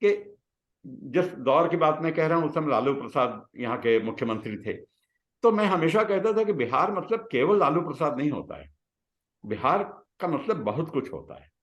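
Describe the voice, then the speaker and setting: A man, telling personal stories reflectively in conversation, with halting pauses between phrases. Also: on the high side, sing-song, fairly bright tone, dull audio. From Bihar, India. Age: 60s. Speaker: guest, retired scientist. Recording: roomy, echoing sound.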